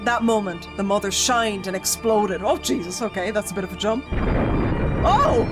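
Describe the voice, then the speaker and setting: A woman streamer reading a spooky visual novel aloud, breaking into jokey, excitable reactions between calm narration, with music behind her. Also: mid-range voice, measured pace.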